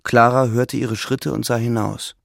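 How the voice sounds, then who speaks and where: A man reading scripted literary narration with a creaky voice. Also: mid-range voice, flat delivery, a little slow, no room sound, clean studio sound.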